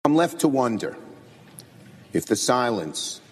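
Husky, low male speaker in a hall. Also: very animated, a little slow. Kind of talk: speech.

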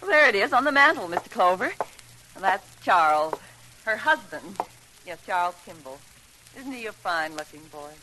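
Anxious middle-aged housewife and mother, hesitant, animated pitch, old radio drama, faint noise. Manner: hesitant, halting, pleading. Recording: faintly noisy vintage radio recording.

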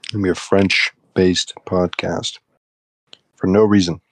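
A man's voice: low-pitched, little pitch movement, slow, slight background noise, rough recording. A young man co-hosting a podcast, chatting casually with dry, offhand, blunt opinions. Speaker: podcast co-host. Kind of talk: casual chat.

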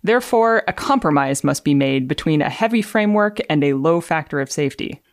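A woman's voice: sits low, lively intonation, brisk, no room sound, studio quality. A woman engineer speaking as a guest, explaining and reading aloud. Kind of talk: explanatory talk with dramatic readings.

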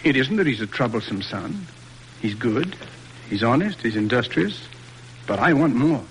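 A man's voice: medium pitch, sing-song, moderate pace, lightly acted. An actor playing a stern, exasperated father in a formal, emphatic 1940s radio drama style. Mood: exasperated resolve. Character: exasperated stern father disappointed in his son. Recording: noisy old radio broadcast recording.

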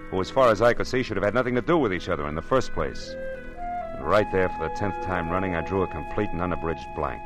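An American man acting a wry, punchy hard-boiled private detective in the style of 1940s radio drama. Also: deep voice. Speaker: lead actor and first-person narrator. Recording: vintage radio broadcast.

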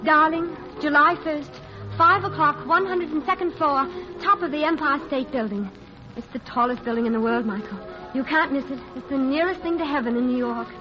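An actress playing a witty nightclub singer in love in a vintage radio romance, wry, tender and composed. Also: female voice, sits high, brisk, lightly acted. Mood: tender, resolute. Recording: dull old radio recording, music behind.

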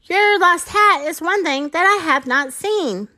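A woman's voice: extremely high pitch, animated, unhurried, bright, forceful tone, voice pushed up, heavily acted. A woman voicing a curious, polite storybook owl with formal, rhyming speech. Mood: apologetic.

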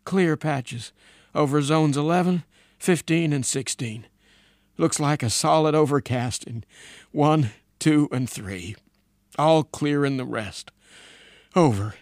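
An earnest, weary radio officer from a storybook, put on by a male narrator, speaks in a high, lifted voice. He delivers his messages haltingly and deliberately, with very little room sound around him. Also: a little mellow, dry recording, clean studio sound. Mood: reporting.

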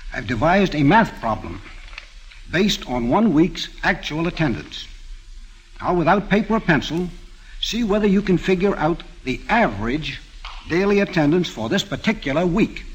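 An American man giving formal, measured remarks as a guest on a 1940s radio program. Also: on the high side, big pitch swings, husky, highs cut off. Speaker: guest observer on a quiz program.

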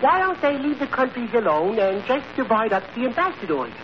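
An actor playing a dim-witted, good-natured tavern regular in an old radio comedy, offering naive questions and daft logic. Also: male voice, very high voice, big pitch swings, a little fast. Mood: puzzled. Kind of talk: 1940s radio comedy acting. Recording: noisy, muffled old radio recording.

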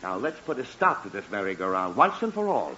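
Brief: exasperated radio-comedy father, hems and stammers, lively pitch; muffled vintage broadcast. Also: a little fast. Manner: blustery, stammering, hemming. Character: flustered middle-aged father, easily exasperated. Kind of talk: 1940s radio acting.

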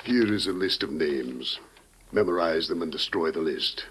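An actor playing a grave, dignified president with solemn, weighty oratory in an old-time radio drama. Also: male voice, extremely low pitch, animated, moderate pace, a bit bright, slightly rough, full character voice. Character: grave, dignified American president briefing a secret agent.

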